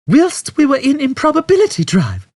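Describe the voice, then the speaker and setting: A man voicing a patient, firm woman aboard a spaceship in an audiobook, bright and compassionate in manner. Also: very high-pitched, huge pitch swings, normal speed, no echo, voice pushed up, heavily acted. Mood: matter-of-fact.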